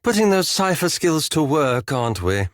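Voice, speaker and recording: A man's voice: a little high, very animated, moderate pace, no echo. An actor playing a scholarly, easily exasperated male elf wizard in a video game, with formal, dry, sardonic diction. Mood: dry, sarcastic. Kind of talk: video game character dialogue.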